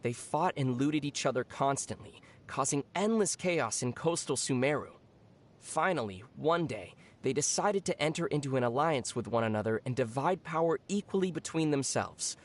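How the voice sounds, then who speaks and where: An actor playing a calm, knowledgeable young traveler in an anime video game, measured and matter-of-fact with gentle teasing. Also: medium pitch, close to an everyday voice. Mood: explanatory.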